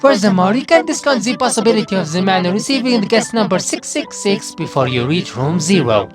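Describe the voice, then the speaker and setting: A man's voice: sits high, very animated, music in the background. Man putting on a theatrical, condescending serpent lord. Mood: mock-polite. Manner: mock-polite, drawn-out, theatrical.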